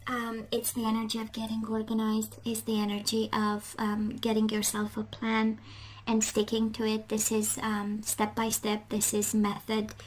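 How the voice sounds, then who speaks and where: A woman giving a casual tarot love reading straight to the viewer, halting and reflective, repeating phrases for emphasis. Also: fairly high pitch, monotone. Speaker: tarot reader.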